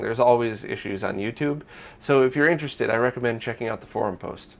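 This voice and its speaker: A man hosting a news roundup, explaining updates to viewers in a conversational, semi-scripted way. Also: medium pitch. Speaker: host of a retro gaming news roundup.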